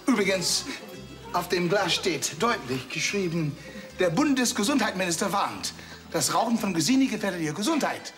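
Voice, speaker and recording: Cheeky italian waiter, sing-song up and down, rambles on, music behind. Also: male voice, sits high, moderate pace. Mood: cheeky, chatty. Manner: lively sing-song delivery, Italian phrases. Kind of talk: 1990 TV sketch comedy. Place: restaurant studio set. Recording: music and studio audience laughter.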